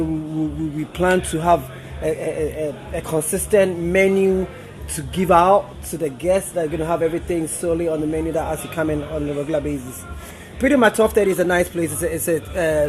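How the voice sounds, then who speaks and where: A man's voice: fairly high pitch, darkish tone. A young man from Ghana, an event coordinator, explaining his restaurant to an interviewer in a friendly way, sometimes repeating words. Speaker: event coordinator and guest services manager. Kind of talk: interview guest. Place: upstairs lounge of a restaurant.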